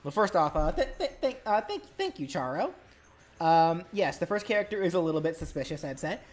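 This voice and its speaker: Low-voiced woman hosting a live stream. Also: sing-song, a little fast, darkish tone, soft background music. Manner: rambling, restarts words often. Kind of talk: casual live-stream chat.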